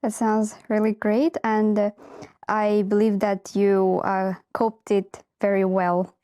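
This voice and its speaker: Mellow-voiced woman host. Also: medium pitch, little pitch movement, slow. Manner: polite, welcoming, hesitant fillers. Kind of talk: moderating an info session.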